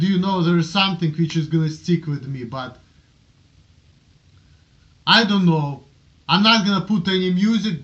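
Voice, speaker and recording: High-voiced man with a strong Russian accent. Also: a bit bright, dull audio. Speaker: amateur video blogger. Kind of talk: casual rambling talk to camera.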